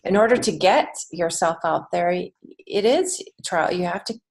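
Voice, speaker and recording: An American woman speaking as a podcast guest in a conversational way, earnest and encouraging, pausing to reflect as she goes. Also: on the low side, darkish tone. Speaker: guest, children's book author and writing teacher.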